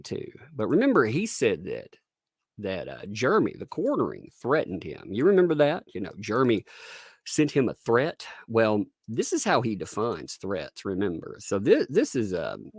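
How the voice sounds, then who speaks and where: A man with a deep voice streaming casual commentary from home, profane and sarcastic, laughing often and thanking his chat. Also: husky, dry recording, studio quality.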